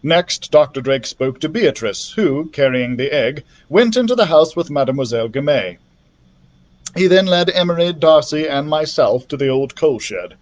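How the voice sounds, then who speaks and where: A man narrates a children's story with plenty of expression. His voice sits a little high and moves in lively swoops, in the manner of a library storyteller. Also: moderate pace, dull audio. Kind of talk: children's book reading, scripted narration.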